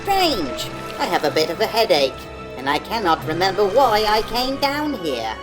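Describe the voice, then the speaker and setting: A woman voicing a tiny, friendly yellow finch in a storybook, chatty, polite and expressive. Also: medium pitch, normal speed, music in the background, lightly acted. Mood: earnest, puzzled. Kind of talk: storybook character voice.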